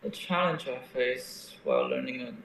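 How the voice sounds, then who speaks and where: A man's voice: medium pitch, creaky, fairly bright tone. Echoey distant young man, hesitant. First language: Mandarin Chinese. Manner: hesitant, pauses with fillers. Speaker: interviewee, language teacher. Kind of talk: answering interview questions. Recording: very echoey room, mic far off, faint noise.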